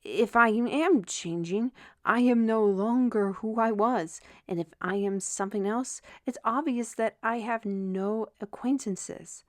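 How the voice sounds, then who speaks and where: A woman's voice: fairly high pitch, a little slow, dry recording, clean studio sound, close to an everyday voice. A woman playing a troubled, self-reproaching young writer in an intimate audio drama, speaking in a hesitant, weary way. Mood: sorrowful, introspective.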